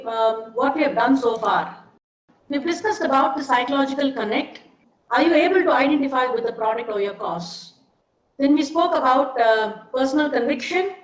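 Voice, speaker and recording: A middle-aged woman with an Indian accent explaining things in an informal online lecture, often posing questions to her listeners. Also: sits high. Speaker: seminar speaker, ad film maker. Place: indoors, online seminar. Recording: dull, echoey online call with hiss.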